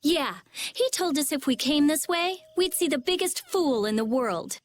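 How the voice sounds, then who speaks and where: A woman voicing a prickly, sharp-tongued teenage girl in a video game, with snappy, sarcastic delivery. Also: sits high, expressive, unhurried. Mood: scolding.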